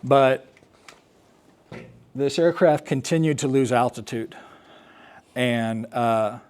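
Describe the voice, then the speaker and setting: An American man giving a personal talk, recounting his experience as an air traffic controller in a halting, explanatory way with pauses. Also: mid-range voice, a little mellow, clean tone. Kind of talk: personal storytelling talk to an assembly.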